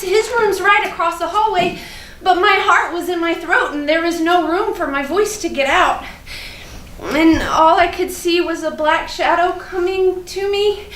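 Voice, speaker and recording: Woman acting as innocent little schoolgirl, slow halting childlike delivery, fearful, stage monologue, distant noisy recording. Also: very high voice, fairly bright tone, reverberant. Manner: childlike, halting, repeats words for emphasis. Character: innocent, chatty, fearful little first-grade girl. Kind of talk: theatrical monologue at a staged reading. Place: indoor room at a live staged reading.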